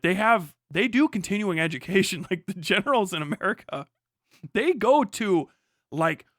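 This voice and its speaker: High-voiced man riffing, bright and profane. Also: no room sound, clean studio sound. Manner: profane, sarcastic, joking. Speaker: live streamer. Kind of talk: casual reaction commentary with riffing.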